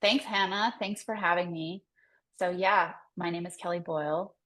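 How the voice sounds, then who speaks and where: An American woman with a low-pitched voice talks as a career coach being interviewed. She explains things conversationally, softening her points with loose, informal phrasing. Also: steady tone, normal speed. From United States. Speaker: career coach, podcast guest.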